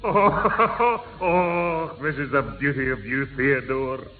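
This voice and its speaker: A man's voice: fairly high pitch, slow pace. A man playing a mischievous, teasing old grandad in a 1960s British radio sitcom. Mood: gleeful, teasing. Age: older. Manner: teasing, excitable. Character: mischievous, excitable old grandad who loves a joke. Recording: tinny, noisy old radio recording.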